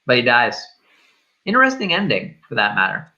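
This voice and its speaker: A man with a bright, keen-edged voice at an ordinary pitch talks through a film casually. He circles back on his points and swears freely. The sound is a little dull and lo-fi. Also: huge pitch swings, moderate pace. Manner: searching, repetitive, sweary. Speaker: film review show host. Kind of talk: casual movie discussion.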